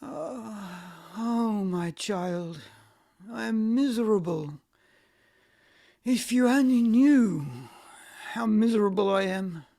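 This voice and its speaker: A woman playing a miserable middle-aged man in an amateur play reading, creaky, weary and halting. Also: on the high side, animated, mellow. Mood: despairing.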